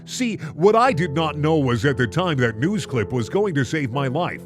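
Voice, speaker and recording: A man's voice: on the high side, big pitch swings, moderate pace, music in the background. A man hosting a comedic reading, switching between mock-dramatic narration and casual, sarcastic commentary. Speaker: host reading and reacting to fan fiction.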